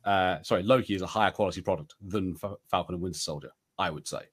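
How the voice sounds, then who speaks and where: A man co-hosting a podcast, chatting casually through a review and haltingly thinking aloud as he hedges. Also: sits low, slightly rough. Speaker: podcast co-host.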